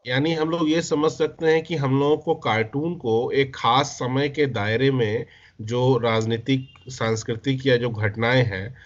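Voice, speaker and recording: A man with an Indian accent hosting a discussion, putting long reflective questions in a deliberate way and rephrasing himself as he goes. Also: medium pitch, muffled recording. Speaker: interviewer.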